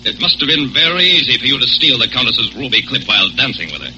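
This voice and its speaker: Commanding, animated aviator hero. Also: male voice, medium pitch, fast, muffled recording. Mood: confident accusation. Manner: assured, crisp, accusing. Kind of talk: 1940s radio acting. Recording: noisy old 1940s radio recording.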